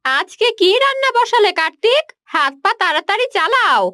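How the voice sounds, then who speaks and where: A woman's voice: extremely high pitch, big pitch swings, normal speed, sharp tone, no echo, studio quality, soft background music, full character voice. A woman voicing a haughty, scornful rich village woman in a moral-story cartoon, scolding sharply and with contempt. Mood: impatient, bossy.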